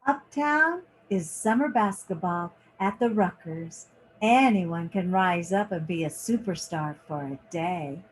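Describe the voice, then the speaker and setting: A woman reading a children's picture book aloud in short, deliberate phrases, like a teacher. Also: on the low side, a little hiss or hum, rough recording.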